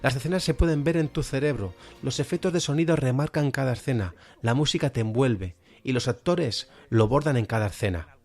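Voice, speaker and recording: A man's voice: medium pitch, a little fast, darkish tone. A man with an accent from Spain presents an enthusiastic, semi-scripted podcast review of radio drama. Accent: Spanish, from Spain. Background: background music.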